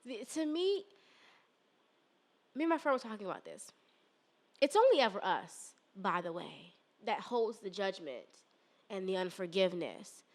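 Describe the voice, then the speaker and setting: A woman's voice: mid-range voice, moderate pace, pauses often. A woman podcast host talking earnestly in a casual spiritual chat, a little congested. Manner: earnest, animated, breaks into song.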